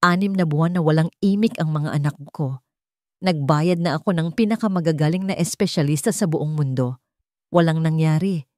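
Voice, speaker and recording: A woman's voice: sits low, a little fast, a little mellow, no room sound, clean studio sound, lightly acted. A woman voicing a cold, impatient businessman father in a dramatic romance audio story, curt and insistent. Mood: pained.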